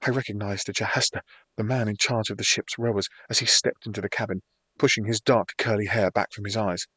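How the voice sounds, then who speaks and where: A man's voice: on the low side, fairly even intonation, a little fast, bright, forceful tone, husky, no echo. A man reading scripted fantasy fiction as a dramatic first-person audiobook narrator.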